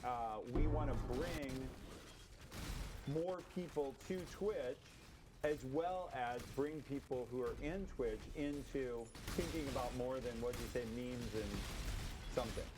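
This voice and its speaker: A middle-aged man in a casual discussion on a stream, explaining things in a measured way. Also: medium pitch. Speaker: livestreamer with a career advice show. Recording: loud gunfire and game noise. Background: video game gunfire and artillery.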